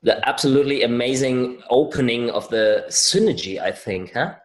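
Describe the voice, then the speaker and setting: A man's voice: mid-range voice, animated, moderate pace. German-accented man, podcast host, hesitant, restarts often, echoey room. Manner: hesitant, many fillers and restarts in English. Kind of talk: interview hosting.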